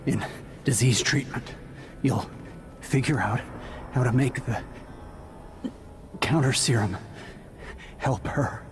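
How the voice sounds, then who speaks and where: A man playing a dying, loyal assistant in a video game, earnest and pleading, speaking weakly in halting short phrases. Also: medium pitch, lightly acted. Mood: weak, urgent.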